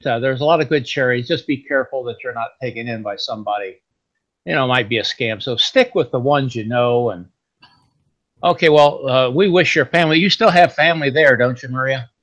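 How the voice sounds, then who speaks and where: A man hosting a podcast, talking in a relaxed, folksy, rambling way. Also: medium pitch, brisk. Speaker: podcast host. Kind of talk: casual interview chat. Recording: slightly dull lo-fi sound.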